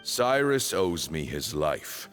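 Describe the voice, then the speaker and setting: An actor playing a commanding old temple leader in a video game, ceremonious and theatrical. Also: male voice, very deep voice, sing-song, slow, fairly bright tone, heavily acted. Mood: imperious. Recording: background music behind the voice.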